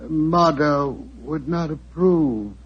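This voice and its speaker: Slow, husky ailing Indian maharaja. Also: male voice, on the high side, heavily acted. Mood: courteous, weary. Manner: halting broken English, formal and weary. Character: ailing, courteous Indian maharaja, grateful and suffering. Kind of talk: 1940s radio acting. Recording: muffled old 1940s radio recording.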